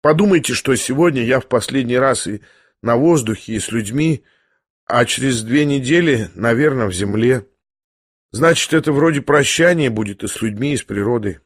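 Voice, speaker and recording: A man reading an audiobook, voicing a young man who is dying, speaking quietly and hoarsely in a weary, ironic calm. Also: medium pitch, no room sound, studio quality, close to an everyday voice. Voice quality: hoarse, near whisper. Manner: slow, weary, faintly mocking calm.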